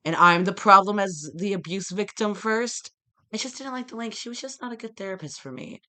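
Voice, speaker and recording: Young male vlogger, bright tense tone, jumps between thoughts with abrupt shouts. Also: high-pitched, a little fast. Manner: disjointed, with sudden loud outbursts. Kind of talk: rambling monologue to camera.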